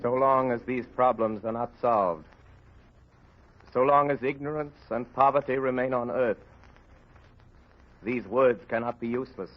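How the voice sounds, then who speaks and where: An actor playing a solemn narrator, reciting with grave oratory in a 1930s radio drama. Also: male voice, medium pitch, measured pace, pauses often, lightly acted. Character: solemn male narrator reciting a novel's preface. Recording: muffled, hissy old radio recording.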